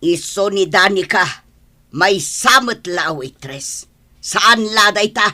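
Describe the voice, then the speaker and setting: A woman's voice: medium pitch, lively intonation, slow, bright, forceful tone. A woman with a Filipino accent playing a middle-aged mother in a radio drama, affectionate with her son and fierce when outraged, speaking emphatically. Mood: outraged, scornful. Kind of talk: Ilocano radio drama acting.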